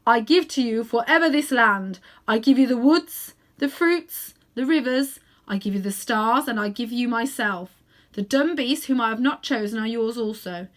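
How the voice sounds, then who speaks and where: A woman voicing a strong, majestic male lion ruler, speaking in a solemn, measured, commanding way for a storybook. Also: on the high side, lively intonation, lightly acted.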